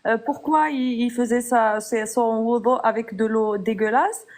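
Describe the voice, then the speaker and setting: A woman's voice: on the high side, fairly even intonation, unhurried, darkish tone. A woman in an online debate, confrontational and impatient, firing off challenges.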